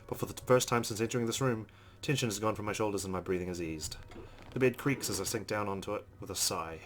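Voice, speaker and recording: A man's voice: lowish voice, fast, a little hiss or hum. A man playing a visual novel, reading its narration aloud and dropping in casual asides as a let's player. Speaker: let's play gamer.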